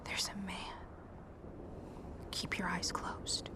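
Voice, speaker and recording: A woman's voice: slow, whispered, close to an everyday voice. An actress playing a tough, resolute woman survivor in an audio drama, terse, firm and practical. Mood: tense, guarded. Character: tough, resolute combat-trained woman survivor leading companions. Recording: faint background ambience.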